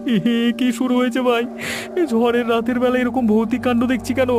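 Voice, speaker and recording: A man's voice: very high voice, flat delivery, normal speed. A man voicing a jumpy, superstitious villager in a cartoon ghost story, chatty and full of alarmed exclamations. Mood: spooked.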